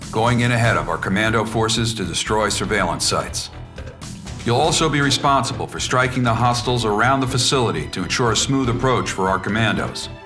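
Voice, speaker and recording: A man's voice: mid-range voice, natural voice. A man playing a blunt, money-minded mercenary officer giving a businesslike, clipped military briefing in a video game. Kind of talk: scripted military mission briefing. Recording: music under the voice. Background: background music.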